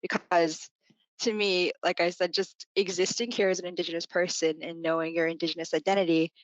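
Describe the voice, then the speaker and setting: A woman's voice: on the low side, level tone, a little fast, no room sound, lo-fi sound. A woman in her twenties, a podcast guest from Florida, speaking in an articulate, reflective way with many "like"s and "you know"s. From Florida, United States. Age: 20s. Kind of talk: reflective interview answers.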